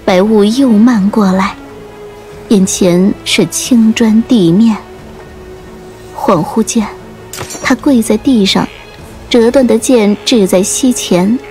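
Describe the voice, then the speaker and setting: Unhurried woman narrating an audiobook. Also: mid-range voice, dark tone. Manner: measured, literary. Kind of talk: dramatic scripted storytelling narration. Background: faint music.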